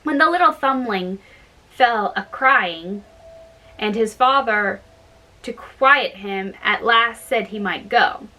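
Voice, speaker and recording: A woman storyteller reading fairytales aloud in a halting, deliberate way. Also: medium pitch, bright, forceful tone, poor audio.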